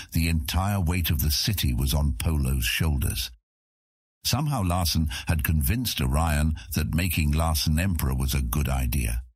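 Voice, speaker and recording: A man reads scripted fiction in a very low voice with a husky grain. His intonation moves freely as he tells the story, and the sound is close and dry. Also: no room sound. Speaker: audiobook narrator.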